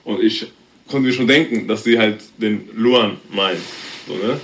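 A man's voice: fairly low pitch, unhurried, a little hiss or hum, low-quality recording. A man in his thirties from Germany, chatting casually on a podcast with a loose, rambling manner. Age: 30s. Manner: relaxed, rambling, with fillers and laughs. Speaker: podcast guest.

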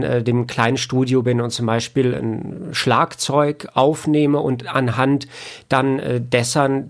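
The speaker is a man with noticeable fry in his voice. He explains his trade in loose, colloquial interview talk. He tends to circle back and rephrase as he builds a point. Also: mid-range voice. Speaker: interview guest, audio engineer and audiobook editor.

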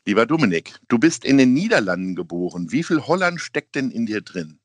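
A man's voice: mid-range voice, big pitch swings, fairly quick, no room sound, studio quality. A man hosting a podcast, reading a scripted intro and then asking a guest friendly, informal questions. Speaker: podcast host. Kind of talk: phone interview podcast.

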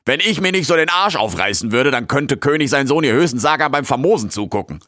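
A man's voice: mid-range voice, lively intonation, quick pace, bright, forceful tone, dry recording, studio quality, pitched above the performer's own voice. A man voicing a cheeky male raccoon intern who grumbles in street slang. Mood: defiant.

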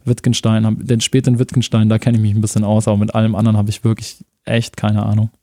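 Relaxed man with a dark dry voice. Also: on the low side, fast talker, no echo. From Germany (Munich). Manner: relaxed, rambling, laughs often, uses slang. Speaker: guest. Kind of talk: casual conversational interview.

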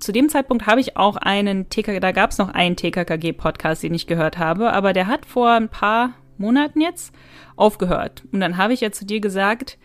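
A woman's voice: mid-range voice, clear, resonant tone, dry recording. A woman co-hosting a podcast in casual, conversational chat. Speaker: podcast co-host.

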